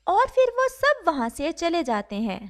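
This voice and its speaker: A woman's voice: very high voice, huge pitch swings, a little slow. A woman narrating a scripted children's cartoon story as the storyteller.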